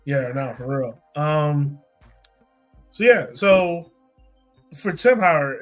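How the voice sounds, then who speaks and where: An American man co-hosting a casual sports podcast, talking colloquially and emphatically, repeating points for effect. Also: mid-range voice. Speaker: podcast co-host.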